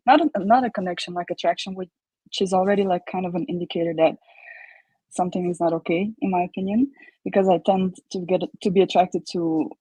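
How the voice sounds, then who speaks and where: Soft-toned Colombian-accented young woman. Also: fairly low pitch, slightly rough, no echo, poor audio. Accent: Colombian Spanish. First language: Spanish. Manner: hesitant, many ums and restarts. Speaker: caller. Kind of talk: casual storytelling.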